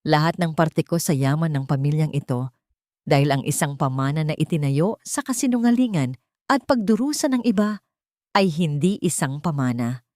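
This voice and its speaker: A woman's voice: low voice, lively intonation, normal speed, darkish tone, no room sound, clean studio sound. A woman narrating a dramatic love story in an emotive, melodramatic way.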